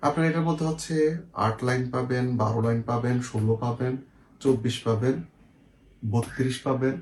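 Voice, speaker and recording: A man's voice: mid-range voice, darkish tone. Bangladeshi man demonstrating products, echoey room. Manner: repeatedly checks in with the viewer. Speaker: shop seller presenting products. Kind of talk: casual product demonstration with explanations. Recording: echoey room, faint noise, clicks.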